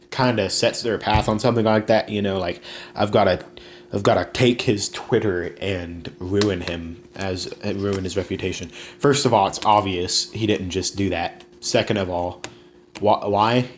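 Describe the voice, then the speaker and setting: A man's voice: lowish voice, unhurried, a little hiss or hum. A young man hosting a casual solo podcast, rambling with many fillers and restarts. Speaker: podcast host.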